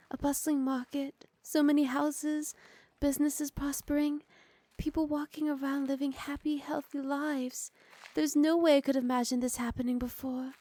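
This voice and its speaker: High-voiced sweet, teasing young wife acted by a woman. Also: mellow, no room sound, natural voice. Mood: soft, wistful. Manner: sweet, coaxing, playfully scolding. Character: doting, teasing, pregnant young noblewoman wife. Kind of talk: intimate ASMR roleplay.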